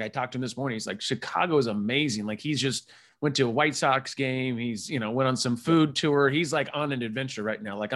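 Upbeat male podcast host, rapid casual delivery, chatty. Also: mid-range voice, fairly bright tone. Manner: upbeat, chatty, many asides. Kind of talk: casual interview chat.

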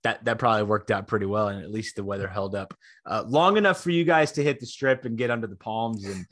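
A man's voice: on the low side, fast talker, a bit bright, no echo, clean studio sound. A young man hosting a casual sports podcast, laid-back and rambling, often restarting his sentences. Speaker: podcast host.

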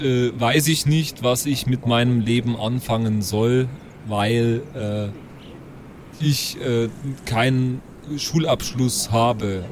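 A man's voice: medium pitch, a little hiss or hum, pitched below the performer's own voice, close to an everyday voice. A man playing a cocky, dim sixteen-year-old petty thief in a tabletop game, hesitant and full of swaggering slang.

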